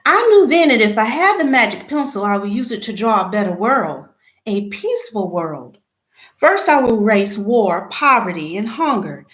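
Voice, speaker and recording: A woman reading a children's picture book aloud, phrase by phrase, with expressive emphasis at the start of sentences. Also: on the high side, normal speed. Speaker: read-aloud storyteller. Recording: echoey room.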